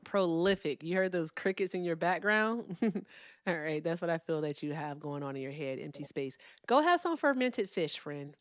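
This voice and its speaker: A woman's voice: on the low side, animated, moderate pace, dry recording, clean studio sound. A woman hosting a casual livestream debate, blunt and profane, laughing often.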